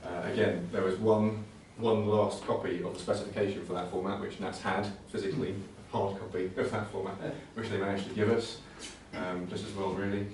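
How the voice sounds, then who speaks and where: A man's voice: low-pitched, little pitch movement, brisk, hardly any pauses, darkish tone. A man giving an informal technical presentation, speaking in a hesitant, conversational way and often repeating words. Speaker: presenter at a computing conservation talk. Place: indoor talk venue. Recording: very echoey room, distant mic, faint noise.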